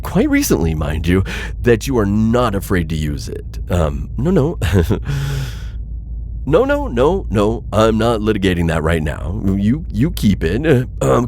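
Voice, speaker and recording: A man's voice: fairly low pitch, darkish tone, slight background noise, full character voice. A man playing an anxious, pleading insectoid alien bounty hunter in a sci-fi audio roleplay, flustered, hesitant and formally wordy. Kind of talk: scripted audio roleplay addressed to listener.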